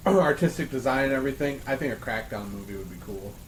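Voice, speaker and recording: A man chatting casually as a podcast panelist, halting and tentative in how he puts things. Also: mid-range voice, animated, rough recording. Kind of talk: casual round-table chat among friends. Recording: faint background noise.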